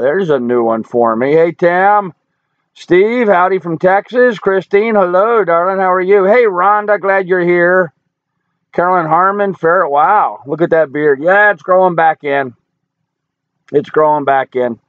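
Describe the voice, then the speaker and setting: An older man with a hoarse voice, greeting viewers one by one and chatting casually on his live stream. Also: sits high, no echo, phone-quality sound. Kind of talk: casual live chat reading viewer comments. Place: home in rural Alaska.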